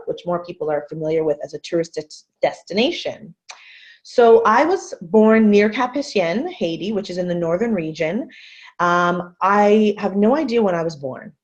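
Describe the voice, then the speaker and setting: A woman in her thirties from Canada giving an earnest, measured webinar talk as a guest speaker over a video call. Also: lowish voice, darkish tone. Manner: earnest, measured, repeats phrases for emphasis. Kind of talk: webinar presentation. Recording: muffled video call audio.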